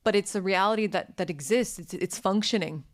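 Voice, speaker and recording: A woman's voice: mid-range voice, a little fast. A woman hosting an interview podcast, asking thoughtful questions with hesitant, stammered restarts. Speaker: podcast host.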